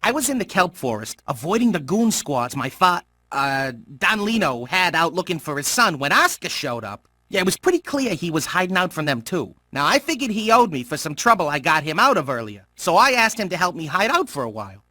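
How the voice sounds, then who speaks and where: High-voiced nervous young shark. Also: male voice, big pitch swings, brisk, hardly any pauses, bright, forceful tone, no room sound, heavily acted. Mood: sheepish. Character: nervous, gentle young male shark on the run. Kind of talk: animated video game confessional.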